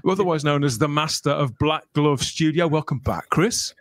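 A man's voice: on the high side, moderate pace, no echo. A middle-aged man chatting enthusiastically and jokily as a podcast host, close to the microphone. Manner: enthusiastic, jokey, wordy. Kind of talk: chatty fan podcast talk. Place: small room at home, on a video call.

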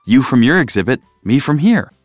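A man's voice: medium pitch, big pitch swings, a little fast, slightly rough, no room sound, clean studio sound, soft background music. A man voicing a friendly young female whale shark in a storybook, with a chummy manner. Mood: friendly, eager.

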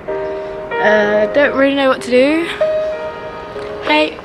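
A woman's voice: high voice, slow, loud music behind the voice, poor audio. A young woman vlogging outdoors in a park, chatty and giggly, filming on a phone at arm's length. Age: young adult. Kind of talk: casual vlog chat. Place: outdoor park on a housing estate. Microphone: phone camera at arm's length.

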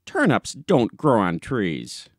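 A man voicing an austere, indignant old gentleman who questions testily in an audiobook story. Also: on the low side, huge pitch swings, takes it slowly, no echo, studio quality, full character voice. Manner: stern, testy questioning.

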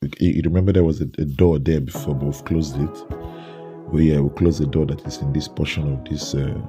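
A man with a Nigerian English accent, narrating a walkthrough of a renovation site in a relaxed way with many fillers. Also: extremely low pitch, brisk, over music. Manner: relaxed, filler-heavy, pointing things out. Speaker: renovation contractor.

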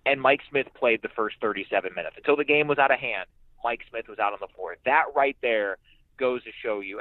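A man's voice: medium pitch, no room sound. Guy breaking down sports on a podcast, emphatic, sounds like he's on a phone, dry sound. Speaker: radio play-by-play sports announcer, podcast guest. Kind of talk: conversational sports analysis. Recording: muffled, band-limited phone-like radio sound.

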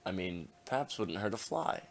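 A man's voice: sits low, expressive, normal speed, vocal fry. A man voicing a wry, weary, half-dead skeleton with a laid-back joking manner in a comic dub. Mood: somber. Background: music.

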